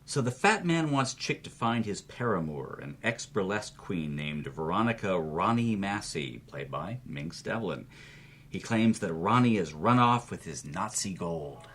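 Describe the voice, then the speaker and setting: A man's voice: sits low, big pitch swings, a little slow, fairly bright tone, slightly rough, soft background music. A man hosting as a storyteller, narrating with wry, emphatic delivery and punchy repetition. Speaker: podcast host, film club organizer.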